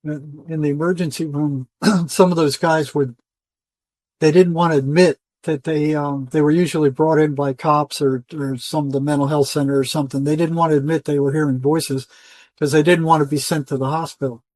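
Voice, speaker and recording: A man's voice: fairly high pitch, fairly even intonation, moderate pace, a little mellow, no echo. An older man talking as an interview guest in an insistent, emphatic way, repeating phrases for stress. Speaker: interview guest, retired psychotherapist. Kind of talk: conversational interview monologue.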